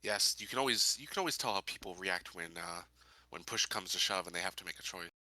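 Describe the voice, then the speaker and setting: A man playing a tabletop roleplaying game, chatting and improvising questions in a halting, thinking-aloud way. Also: deep voice, expressive, sharp tone, no echo, low-quality recording. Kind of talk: casual improvised roleplay chat.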